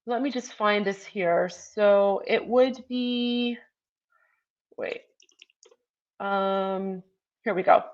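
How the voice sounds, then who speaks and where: A woman's voice: mid-range voice, drawn-out words, pauses often, dull audio. A woman in her forties hosting her own show, talking passionately and emphatically in casual commentary with many fillers and repetitions. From Iran. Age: 40s. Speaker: show host.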